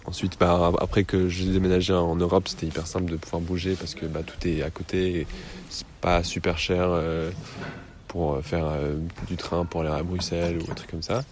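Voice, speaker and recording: A young man in his twenties who grew up in the United States, answering interview questions in a relaxed, rambling way with frequent hesitations. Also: deep voice, normal speed, a crowd in the background. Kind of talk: casual conversation.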